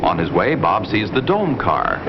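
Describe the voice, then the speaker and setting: A low male voice delivers measured 1950s educational narration with lively rises and falls. The sentences are simple and explanatory. It comes through a dull, noisy old film soundtrack.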